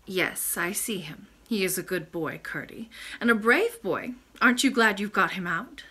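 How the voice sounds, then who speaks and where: Woman voicing a sweet, expressive old grandmother. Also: medium pitch, moderate pace, husky. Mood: calm, wise. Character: sweet, wise, patient old grandmother lady.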